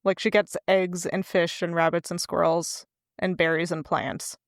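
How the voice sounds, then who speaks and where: A woman's voice: fairly low pitch, flat delivery, moderate pace, no echo. Woman chatting casually, playful and close-sounding. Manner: teasing, playful, laughs often. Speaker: podcast co-host. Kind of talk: casual book discussion chat.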